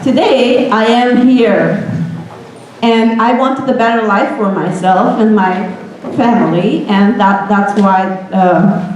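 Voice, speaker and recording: A woman's voice: on the high side, darkish tone, a little hiss or hum. A woman in her thirties from Hungary with a Hungarian accent, giving an earnest motivational talk to students and asking them questions. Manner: earnest, asks the audience questions. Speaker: guest speaker. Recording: echoey room recording.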